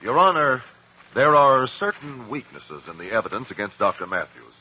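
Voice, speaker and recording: An actor in a 1950s radio drama playing a principled cross-examiner, measured, articulate and pressing. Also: male voice, mid-range voice, very animated, slight background noise, close to an everyday voice. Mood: probing. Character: probing, principled male courtroom cross-examiner. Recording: muffled vintage 1950s radio recording.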